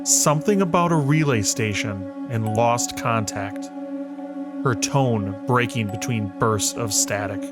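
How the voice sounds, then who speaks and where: A man with a medium-pitched voice narrates a scripted suspense tale over prominent, loud music. He speaks very slowly and deliberately, breaking phrases with dramatic pauses.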